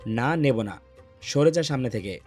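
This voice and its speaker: A man's voice: mid-range voice, animated, a little slow, darkish tone, natural voice. Guy acting as hot-headed possessive boyfriend in audio romance drama, bossy n menacing, music under it. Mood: irritated, curt. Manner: commanding, menacing, clipped. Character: intense, possessive, hot-tempered young male lover. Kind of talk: dramatised audio love story.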